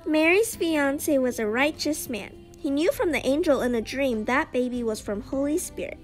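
High-voiced woman narrating a children's story. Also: expressive, normal speed, soft background music. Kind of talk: scripted narration of a children's Bible puppet show.

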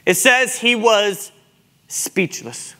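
A man's voice: high voice, animated, extremely slow, sharp tone. A man preaching a sermon in an emphatic, exhortatory manner, repeating phrases for weight. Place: large church sanctuary, speaking from the pulpit to a seated congregation.

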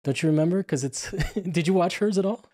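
A man's voice: sits high, brisk, warm tone. A man hosting a podcast interview in an easygoing, jokey way, laughing often. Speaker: podcast host. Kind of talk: casual interview chat.

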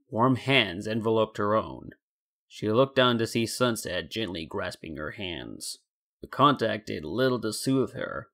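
A man narrating a scripted story in a deliberate, dramatic storytelling manner. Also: fairly low pitch, a bit bright. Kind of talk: scripted fan fiction narration.

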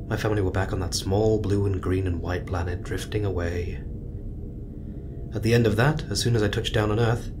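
Man playing a low-voiced, thoughtful space mission leader. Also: lightly acted. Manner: gentle, musing, hesitant. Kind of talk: naturalistic audio drama acting. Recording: clear low rumbling noise.

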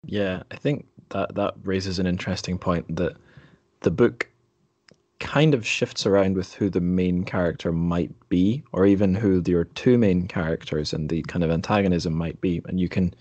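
Deep-voiced man hosting a podcast. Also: moderate pace, darkish tone, no room sound, highs cut off. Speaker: podcast host, interviewer. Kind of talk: conversational book discussion.

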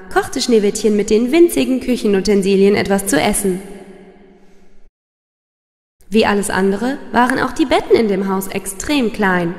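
Woman storyteller in long reverb. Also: mid-range voice. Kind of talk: scripted children's fairy-tale narration. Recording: long reverb, music behind.

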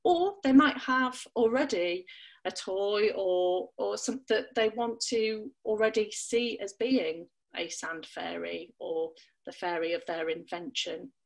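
Animated woman leading a storytelling webcast. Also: measured pace, gravelly, low-quality recording. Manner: expressive, playful, leaps up in pitch for emphasis. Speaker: storytelling workshop leader. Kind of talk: online parenting webinar talk. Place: small room at home, indoors. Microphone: laptop webcam, video call.

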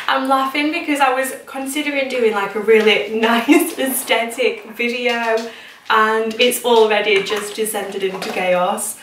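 A British woman talks casually to camera in a chatty, informal way. Her voice sits a little high, and she is recorded in an echoey room at home with noticeable noise behind her. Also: fairly even intonation, slow pace, a bit bright. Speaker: home vlogger. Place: indoors in a home kitchen and small utility room. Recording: echoey room, distant mic, noisy background.

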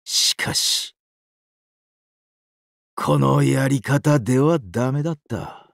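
An actor playing a sadistic, weeping angel of doomsday in a mobile game, exaggeratedly polite and dramatic, swinging between sorrow and glee. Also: male voice, mid-range voice, slow, vocal fry, no room sound, studio quality. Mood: solemn, courteous. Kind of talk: Japanese mobile game character acting.

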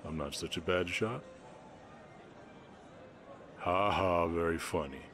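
A man as a deep, shy Southern orc cowboy. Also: slow pace, a bit bright, faint music behind, full character voice. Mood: gentle, easygoing. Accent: slight Southern US. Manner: hesitant, stammering, bashful. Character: shy, gentle lonesome orc cowboy, big and strong. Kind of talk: roleplay audio drama, soft ASMR acting.

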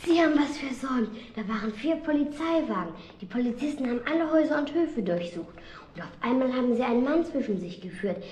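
An actress playing an eager child in a radio play, telling things in a chattering, childlike way. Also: female voice, sits high, lively intonation, fast talker, darkish tone, echoey room, a little hiss or hum. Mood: excited. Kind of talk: German radio play dialogue.

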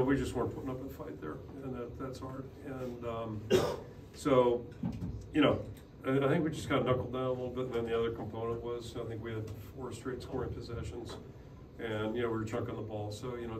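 An older man speaks as a head coach at a postconference podium, plain-spoken and full of restarts. His pitch stays flat and even. The room is echoey with steady noise behind, and the mic sits a little off. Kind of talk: postgame press conference answers. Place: press room in front of a logo backdrop. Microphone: podium microphone. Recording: roomy, slightly distant, noisy.

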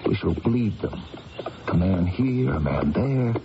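A seasoned warrior advising his queen speaks in a fairly low, animated voice, measured and emphatic. It sounds like eighties radio theatre, somewhat muffled. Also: creaky, exaggerated voice. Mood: urgent persuasion. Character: shrewd, seasoned ancient British warrior counsellor. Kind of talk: 1980s radio drama acting. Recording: dull, noisy old radio broadcast recording.

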